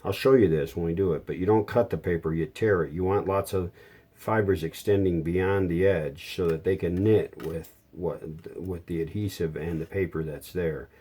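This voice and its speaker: A man's voice: low-pitched, normal speed, dark tone, breathy edge. A man giving a casual hands-on how-to, explaining each step plainly and without hurry as a repair hobbyist.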